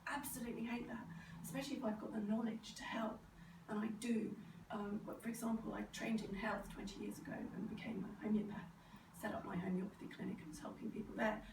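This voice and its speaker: A middle-aged British woman with a croaky, sore-throated voice, giving an informal, animated talk and sometimes mimicking other people. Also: fairly high pitch, fast talker. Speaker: speaker, neurodevelopment specialist. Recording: roomy, distant microphone, clear background noise.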